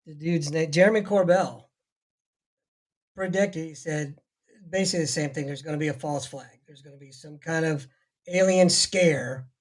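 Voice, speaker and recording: Hoarse podcast co-host, man. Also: on the high side, moderate pace. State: voice strained from yelling. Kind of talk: casual chat.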